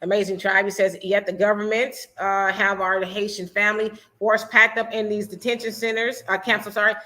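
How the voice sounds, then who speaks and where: Bright-voiced American woman chatting. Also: mid-range voice, brisk. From United States. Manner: animated, laughs often, reads comments aloud. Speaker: host. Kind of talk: casual chat reading viewer comments.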